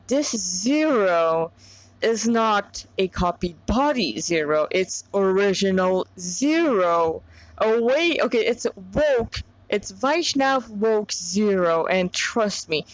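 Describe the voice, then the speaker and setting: Slow-paced woman, dull lo-fi recording. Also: medium pitch, no room sound. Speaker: fan fiction author and reader. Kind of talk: casual chat and informal story reading.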